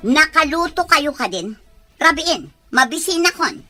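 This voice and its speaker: A woman's voice: sits high, lively intonation, measured pace, pushed and bright, soft background music, heavily acted. A woman acting a harsh, bossy aunt in a drama, scolding and commanding. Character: harsh, bossy aunt resentful of orphaned relatives. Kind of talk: Ilocano radio drama acting.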